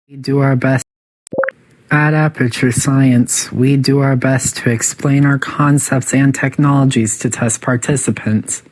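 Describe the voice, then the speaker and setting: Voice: medium pitch, fairly even intonation, a little slow, natural voice, rough recording. A performer voicing a polite but menacing artificial intelligence that runs test chambers, making formal, clinical, passive-aggressive announcements in a video game. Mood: clinical, encouraging. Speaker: facility announcer.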